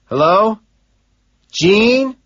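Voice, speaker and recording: A distraught young man, played by a male narrator, yelling into a payphone. His voice is strained and raised well above its usual pitch. The recording is a bit dull. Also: expressive, drawn-out words, exaggerated voice. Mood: frantic. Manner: shouting, desperate, strained.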